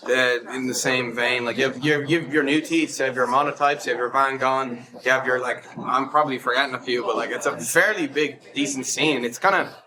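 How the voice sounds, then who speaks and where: A man's voice: medium pitch, steady tone, fairly quick, few pauses, rough recording. An Irish man in a band chatting casually, rambling and correcting himself. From Ireland. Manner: rambling, self-correcting, enthusiastic. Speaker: band member. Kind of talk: casual chat. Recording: crowd chatter behind.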